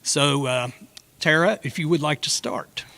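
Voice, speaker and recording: A man with a bright, lively edge and a slightly high voice runs a conference panel in a relaxed, joking way. His voice carries the long reverb of a big hall. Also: measured pace, gravelly. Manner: relaxed, joking, with frequent fillers. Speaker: panel moderator.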